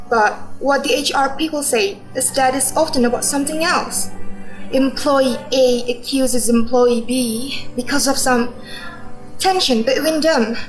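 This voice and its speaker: A woman's voice: sits high, rough recording. A woman playing an earnest, probing, reproachful wife in a radio drama. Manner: earnest, insistent.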